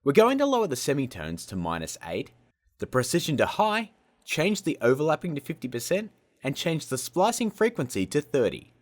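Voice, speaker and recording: A man hosting a casual software tutorial in a cheeky, self-mocking way, with jokey asides. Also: a little high, wildly swooping pitch, fairly quick. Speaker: host of a video-editing tutorial show. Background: music.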